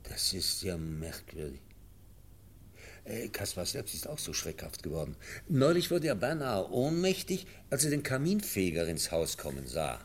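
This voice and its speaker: A man's voice: sits low, wildly swooping pitch, moderate pace, a little hiss or hum, heavily acted. A man playing a stern, worried professor in a radio play, emphatic and moralising in his delivery. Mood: troubled. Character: stern, worried schoolmaster professor, exasperated guardian of a foundling.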